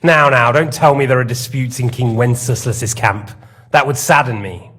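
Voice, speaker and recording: A man's voice: mid-range voice, moderate pace, fairly bright tone, slightly rough, faint music behind. A man playing a smug, sadistic lord in a game, interrogating with mocking, theatrical, mock-polite menace. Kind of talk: dramatic game acting.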